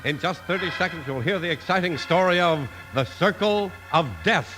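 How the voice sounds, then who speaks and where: A man's voice: high voice, normal speed. A man working as a 1950s radio announcer, reading scripted copy with a polished, emphatic salesman's delivery. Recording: old radio transcription. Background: music.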